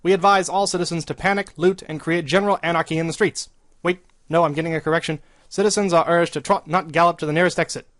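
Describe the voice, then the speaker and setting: Guy doing earnest pony emergency broadcast announcer, radio play, high voice, no room sound. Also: steady tone, fast talker, fairly bright tone, dry recording, studio quality, lightly acted. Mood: official.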